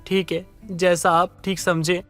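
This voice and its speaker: A man's voice: high voice, flat delivery, measured pace, a bit bright, faint music behind. Man playing a meek, polite husband. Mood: meek, pleading. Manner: polite, hesitant, pleading. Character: meek, patient middle-class husband, lovestruck and submissive. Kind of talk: Hindi animated story dialogue.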